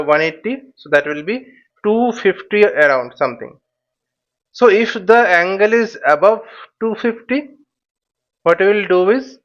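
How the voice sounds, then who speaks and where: A man giving an explanatory tutorial as an instructor, thinking aloud in a halting, repetitive way and often checking in with short tags. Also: high-pitched, fairly bright tone, dull audio. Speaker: flight simulation instructor.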